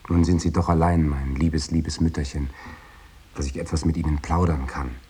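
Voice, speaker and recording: Deep, husky, tender letter writer. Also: male voice, fairly even intonation, moderate pace, mellow. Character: devoted man voicing a tender, self-doubting letter. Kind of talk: literary letter recital in radio drama.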